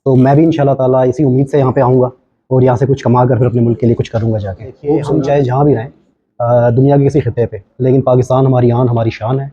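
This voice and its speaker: A man's voice: mid-range voice, flat delivery, slightly rough. A man with a Pakistani accent gives an earnest interview answer, speaking as if making a small speech. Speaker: stage actor. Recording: dull lo-fi event interview.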